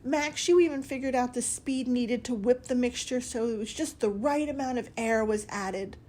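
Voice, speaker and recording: Storybook role: woman voicing a meek, tearful bread boy, higher register. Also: normal speed, darkish tone, lightly acted. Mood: hurt. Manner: meek, tearful, self-pitying. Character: timid lonely slice of bread, young male.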